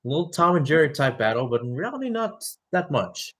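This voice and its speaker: A man's voice: on the high side, very animated, brisk. A young man giving live radio-style soccer commentary, moving between calls of play and conversational analysis. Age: young adult.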